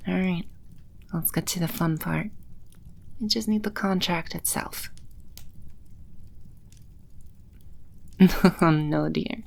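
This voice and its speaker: Female performer as teasing demon empress for ASMR roleplay: lowish pitch, heavy vocal fry, coy and self-assured. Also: darkish tone. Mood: businesslike, teasing. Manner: unhurried, coy, teasing, confident. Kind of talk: ASMR audio roleplay, spoken close to the listener.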